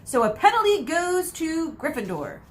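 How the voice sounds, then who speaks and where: Woman acting excitable, high-pitched schoolboy sports commentator. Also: moderate pace, slight background noise. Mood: sardonic, excited. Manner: partisan, emphatic, sardonic. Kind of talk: mock match commentary in a read-aloud story.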